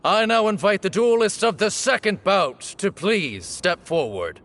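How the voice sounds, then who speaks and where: A solemn temple official in a game, announcing a sacred duel slowly and formally. His gravelly voice rises and falls widely and rings out with a long hall reverb. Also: sits high, expressive, fairly bright tone, heavily acted. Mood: ceremonial. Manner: formal, slow, ceremonial proclaiming. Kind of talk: game voice acting.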